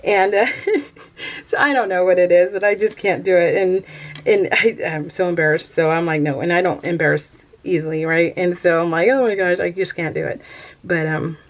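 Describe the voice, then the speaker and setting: A woman's voice: on the low side, fairly even intonation, moderate pace. An American woman chatting casually to viewers as a craft vlogger, rambling and giggly, poking fun at herself and often trailing off mid-thought. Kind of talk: casual chat to viewers while showing a craft project.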